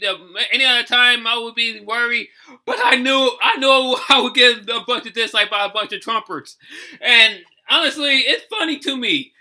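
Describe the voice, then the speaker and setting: A man giving casual, off-the-cuff reaction commentary as host, thinking aloud with halting, repeated phrases. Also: extremely high pitch, bright, forceful tone.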